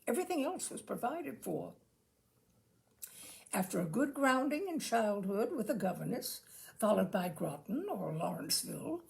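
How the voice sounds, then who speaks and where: Female narrator for comic literary audiobook: higher-set voice with noticeable fry, very lively intonation, droll mock-gravity. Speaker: storyteller reading a humorous essay aloud. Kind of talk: literary audiobook-style reading of a comic essay.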